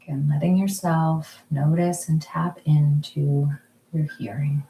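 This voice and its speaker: A woman slowly and calmly leading a guided mindfulness exercise from her kitchen at home, with many pauses. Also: low voice, vocal fry, echoey room, poor audio. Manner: slow, soft, calm guiding with frequent pauses. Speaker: mindfulness group leader. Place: kitchen or dining room at home.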